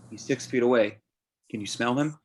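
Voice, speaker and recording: A man playing a courteous, reassuring middle-aged veterinarian in a dramatic table read, guiding gently step by step. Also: mid-range voice, normal speed, a little hiss or hum, close to an everyday voice.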